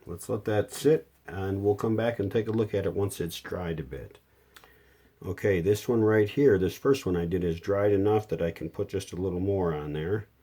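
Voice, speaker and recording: This is a man with a low, dark and relaxed voice. He talks casually through hands-on repair work, step by step. His delivery is plainspoken and unhurried, like a hobbyist's tutorial. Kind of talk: casual hands-on how-to narration.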